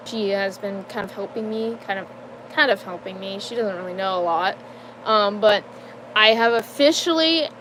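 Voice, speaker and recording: A young adult woman giving a casual personal life update, halting and full of fillers, with nervous laughs between sentences. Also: on the high side, slight background noise, poor audio. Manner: hesitant, halting, many fillers and restarts. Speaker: vlogger.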